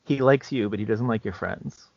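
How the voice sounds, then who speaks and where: A man co-hosting a podcast about tabletop games, talking casually in a halting, conversational way with a gravelly voice. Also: on the low side, no echo, lo-fi sound. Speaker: podcast co-host and game master.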